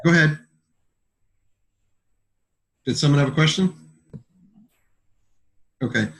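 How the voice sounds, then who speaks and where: A man gives an informal product presentation as a sales presenter, speaking haltingly and often repeating words. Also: on the high side, a bit bright. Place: conference call.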